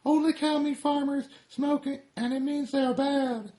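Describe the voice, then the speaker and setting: A man voicing a tiny, hot-headed evil cigarette in a shrill, squeaky voice. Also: little pitch movement, measured pace, mellow. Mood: shrill menace. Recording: roomy echo.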